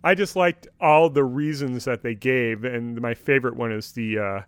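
A man's voice: mid-range voice, fairly even intonation, normal speed, clear, resonant tone, no echo. Man in a dry, close-sounding chat. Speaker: podcast co-host. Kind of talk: casual chat, discussion of a radio comedy.

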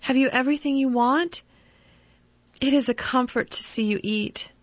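A woman reading the part of a loving, pleading middle-aged mother, her voice lifted high and earnest. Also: normal speed, no echo, close to an everyday voice. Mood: gentle. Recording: dull lo-fi dry recording.

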